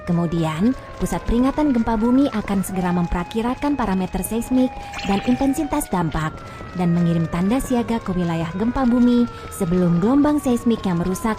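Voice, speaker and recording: A young woman voicing a friendly female tour guide in a kids' educational cartoon, explaining things patiently and clearly. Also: medium pitch, close to an everyday voice.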